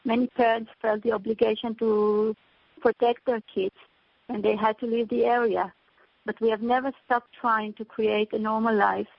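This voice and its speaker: A woman's voice: on the high side, gravelly, no room sound. Earnest woman, dry lo-fi sound. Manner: earnest, halting with fillers. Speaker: teacher and mother. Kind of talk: prepared speech to donors.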